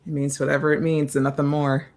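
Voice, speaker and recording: A man reads a wry, secretly predatory vampire woman for a film table read. The voice sits a little high for a man and crackles with fry, and the lines come out dry, clipped and offhand. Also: flat delivery, fast talker, a little mellow. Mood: guarded, teasing.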